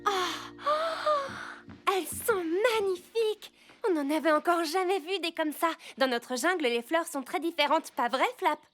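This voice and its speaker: Friendly cartoon peacock girl, super high voice, polite n earnest, music going behind. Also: lively intonation, moderate pace, a bit bright. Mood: admiring, cheerful. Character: polite, friendly young girl peacock-creature, apologetic and upbeat. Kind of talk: dubbed children's cartoon acting.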